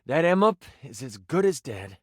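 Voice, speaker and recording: A man's voice: mid-range voice, sing-song, moderate pace, husky, no room sound, studio quality. An actor playing a game master, a man narrating a fantasy quest in a theatrical, suspenseful and emphatic way. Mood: dramatic, excited.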